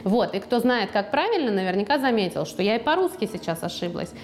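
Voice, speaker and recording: A woman guest on a studio podcast, talking in a lively, chatty way and now and then acting out what people said to her. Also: mid-range voice, quick pace. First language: Russian. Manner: lively, chatty, acts out quoted speech. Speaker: guest author. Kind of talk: podcast conversation.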